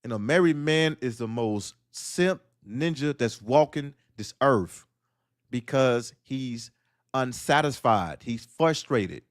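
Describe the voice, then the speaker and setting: A man's voice: medium pitch, lively intonation, slow pace, dry recording, clean studio sound. A man hosting a solo audio livestream, delivering a blunt, preachy monologue that fires off rhetorical questions. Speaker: livestream host.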